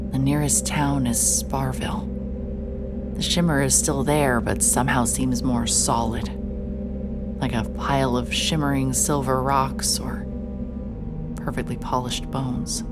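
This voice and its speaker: A woman voicing a hard-hearted private investigator, narrating in a dry, hard-boiled inner monologue. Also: extremely low pitch. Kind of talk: noir first-person narration.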